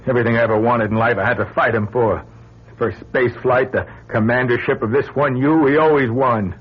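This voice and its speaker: An actor playing a bitter, jealous, desperate astronaut with a rough, breathy voice in a radio melodrama. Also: male voice, mid-range voice, fairly even intonation, a little fast, lightly acted. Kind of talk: melodramatic radio drama acting. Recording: muffled lo-fi old radio recording.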